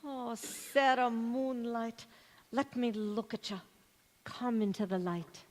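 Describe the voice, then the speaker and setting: A loyal, tender older confidante in a vintage radio play, acted by a woman. She talks slowly and fondly, her voice carrying long hall reverb. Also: a little high, fairly even intonation. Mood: moved, tender. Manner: fond, sympathetic. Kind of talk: 1940s radio drama acting on stage.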